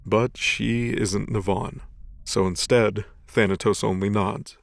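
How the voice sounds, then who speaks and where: A man's voice: on the low side, fairly even intonation, slow, gravelly, no echo. A man narrating a scripted dramatic story as its narrator.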